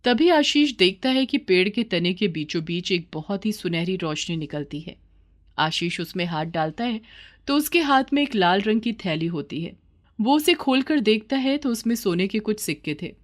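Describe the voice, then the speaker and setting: A woman's voice: mid-range voice, lively intonation, moderate pace. A woman narrating a scripted children's story as the storyteller.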